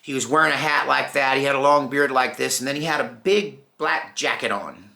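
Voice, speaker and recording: A middle-aged American man telling personal stories informally, in a folksy, reflective manner with unhurried pauses. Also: medium pitch, gravelly, sharp tone. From Texas, United States. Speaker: storyteller, channel host.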